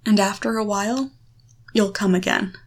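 A woman guiding the listener through a visualization, coaching in short, deliberate phrases with a reassuring, instructive manner. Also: mid-range voice, steady tone. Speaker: guide leading a guided erotic confidence exercise. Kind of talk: guided visualization, second-person coaching.

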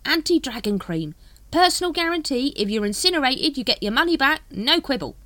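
A woman voicing a shifty, fast-talking male street hawker with wheedling, conspiratorial sales patter in a storybook. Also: sits high, big pitch swings, a bit bright, no echo, voice pushed up.

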